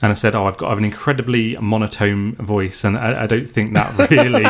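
Hesitant man, low voice. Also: animated, fairly quick. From United Kingdom. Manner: hesitant, many ums and restarts. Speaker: podcast co-host. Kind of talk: casual podcast chat.

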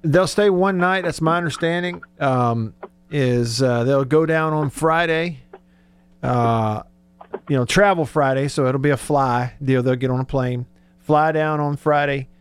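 A man's voice: on the high side, measured pace, clear, resonant tone, no echo. Man, sports radio host, casual chat, conversational, thinking aloud.

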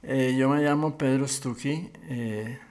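An older man, sixties or above, telling his story as a pastor and interview guest in a measured, deliberate way with frequent pauses. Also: medium pitch, steady tone, darkish tone. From Colombia. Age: 60s or older.

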